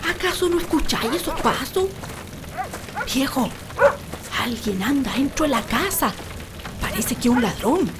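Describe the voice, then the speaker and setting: A woman's voice: high-pitched, animated, a little slow, long pauses, heavy background noise. An actress playing a bossy, nagging rural wife and doting mother in a comic radio drama, with a Chilean accent. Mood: alarmed, urgent. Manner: scolding, exaggerated, colloquial.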